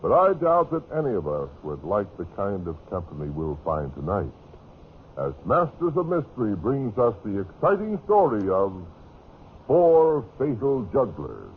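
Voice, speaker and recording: A man's voice: lowish voice, huge pitch swings, normal speed, narrow, tinny audio, a little hiss or hum. Theatrical male radio host, widely swooping delivery. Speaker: host and announcer. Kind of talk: dramatic old-time radio announcing, scripted. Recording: band-limited vintage radio broadcast.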